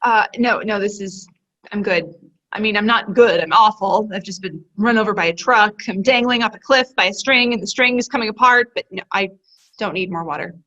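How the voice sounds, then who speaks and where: A woman playing a distraught young woman in a play reading, talking in halting, emotional bursts. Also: medium pitch, pushed and bright, muffled recording. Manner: emotional, halting, self-blaming. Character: distraught young woman, shaken after a breakup.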